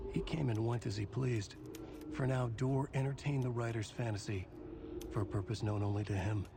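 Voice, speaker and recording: An actor playing a brooding writer who narrates a dark thriller in a video game, measured and solemn. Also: male voice, fairly low pitch, close to an everyday voice. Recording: clear ambient noise behind narration.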